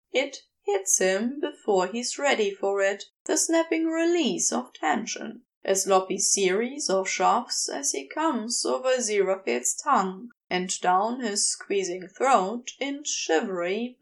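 A woman narrating scripted fiction as an audiobook-style reader, in an intimate, measured storytelling manner. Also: fairly high pitch, gravelly. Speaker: podfic reader.

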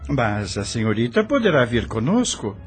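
An actor playing a young doctor, overly courteous and insistent, with a husky voice in a radio soap. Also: male voice, mid-range voice, big pitch swings, normal speed, faint music behind. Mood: courtly, insistent.

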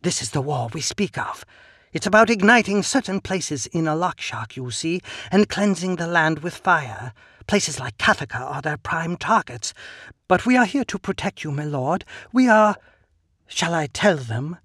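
A man's voice: on the high side, animated, normal speed, fairly bright tone, dry recording, studio quality, voice pushed up. A man voicing a stern female sergeant who speaks in a curt, authoritative way. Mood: explaining.

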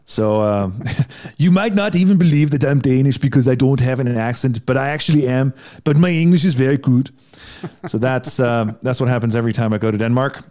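A man from Iceland speaks in a relaxed interview, pausing and restarting as he thinks aloud. He is a middle-aged songwriter with a mid-range voice, easygoing and reflective. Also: mid-range voice. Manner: relaxed, thoughtful, with frequent restarts. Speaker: songwriter guest. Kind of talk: casual interview chat.

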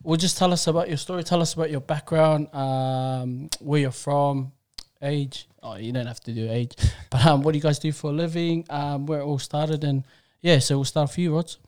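A man's voice: fairly high pitch, steady tone, moderate pace, few pauses, darkish tone, clear, resonant tone. A man hosting a casual interview, asking questions in an informal, matey way. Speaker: podcast host.

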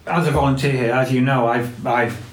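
A man's voice: medium pitch, fairly even intonation, fairly quick, slight background noise. A man who volunteers at a museum and works as a postman, telling stories in a casual interview and acting out what others said. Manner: warm storytelling, quoting others. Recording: echoey room.

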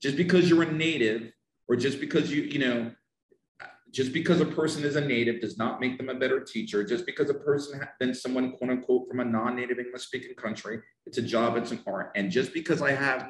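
A man's voice: medium pitch, reverberant, rough recording. A man from the United States with a Northern New Jersey accent, an English pronunciation teacher talking emphatically and passionately on a podcast, often repeating himself for stress.

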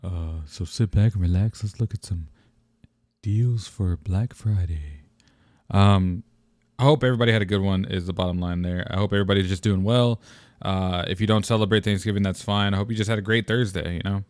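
A young man hosting his own podcast alone, with a deep morning voice, talking in a rambling, relaxed way with lots of fillers and restarts. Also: fairly quick, no room sound. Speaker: podcast host. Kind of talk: casual solo podcast talk.

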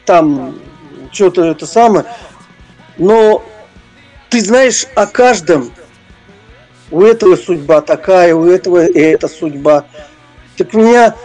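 An older man reminiscing in an interview, speaking haltingly and searching for his words. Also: sits high, a little mellow. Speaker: interview guest, retired prison-service officer and former stage performer.

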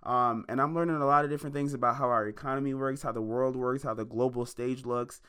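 A man's voice: mid-range voice, fairly even intonation, fast, a bit bright, clear, resonant tone. A man in his twenties giving a preachy motivational monologue to listeners, repeating lines for emphasis. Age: 20s. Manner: addresses listeners as y'all and baby. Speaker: independent musician opening a podcast.

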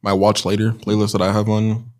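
A man's voice: on the low side, completely flat delivery, brisk, darkish tone. A man in casual podcast chat, laid-back and explaining at length with frequent fillers. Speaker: podcast co-host.

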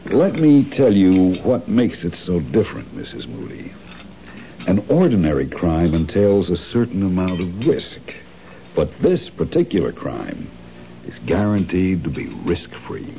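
A suave criminal planner in a 1970s radio drama, courteous on the surface and patronizing underneath. His voice sits low and very dark. It comes through as a dull, lo-fi broadcast with faint hiss. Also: very animated, a little slow, exaggerated voice. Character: smooth, polite, scheming male criminal mastermind.

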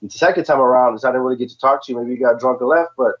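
A man's voice: medium pitch, racing, muffled recording. A man from the southern United States chatting casually as a podcast co-host. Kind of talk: casual chat.

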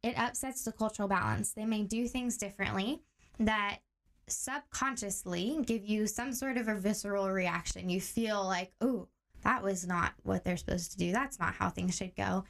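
Young woman from Tanzania, podcast guest, steady teacher-like explainer, mid pitch. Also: moderate pace. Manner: calm, steady explaining with clear examples. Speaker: guest, director of training.

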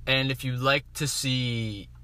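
A man's voice: medium pitch, fairly even intonation, slow, sharp tone, clear, resonant tone, no echo. A young man with a slight lisp on his S sounds, co-hosting a podcast and rambling about movies in casual chat, often restarting his sentences. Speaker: podcast co-host.

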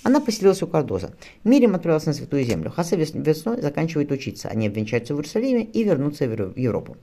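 Male reader as wistful young gentleman, historical audiobook, earnest, rueful, quick delivery, varied intonation. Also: fairly high pitch, sing-song, creaky, pitched above the performer's own voice, full character voice. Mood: conversational.

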